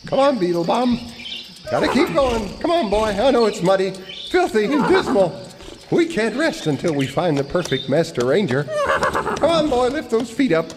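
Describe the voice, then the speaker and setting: Naive cowboy deputy, animated and high, folksy exclamations, radio comedy, reverberant hall. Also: male voice, moderate pace, background noise. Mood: urging. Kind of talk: old-time radio serial parody acting.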